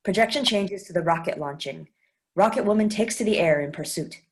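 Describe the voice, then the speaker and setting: A woman's voice: mid-range voice, a little fast. Woman reading stage directions. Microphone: video call.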